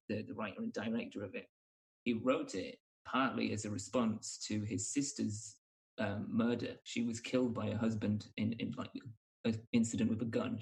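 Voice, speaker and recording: A man's voice: fairly low pitch, distant mic, rough recording. A man chatting casually about films, speaking thoughtfully and often rephrasing as he goes. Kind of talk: casual film chat.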